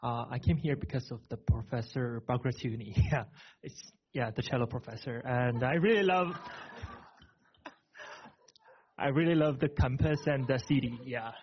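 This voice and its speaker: A man's voice: medium pitch, unhurried, husky. A young man from China, introducing himself as a music doctoral student in a friendly way. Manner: friendly, with small laughs between phrases. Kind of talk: brief self-introduction. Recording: muffled lo-fi recording.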